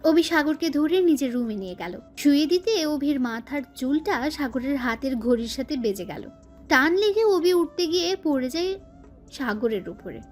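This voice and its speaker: A woman's voice: high voice, animated, moderate pace, darkish tone, faint music behind. A woman reading scripted narration as the storyteller of a romantic audio story.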